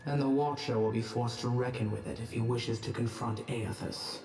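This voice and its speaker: An actor playing a stately, proud god with a formal, measured and weighty manner. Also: male voice, mid-range voice, fairly even intonation, creaky, a little mellow, slight background noise, lightly acted. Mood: proud, grave. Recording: echoing voice over faint music.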